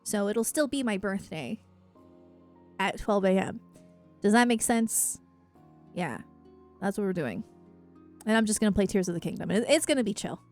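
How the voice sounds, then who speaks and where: A woman's voice: medium pitch, normal speed, long pauses, darkish tone, soft background music. A woman chatting casually on a livestream, rambling and effusive, with a self-deprecating way of talking as she answers her viewers.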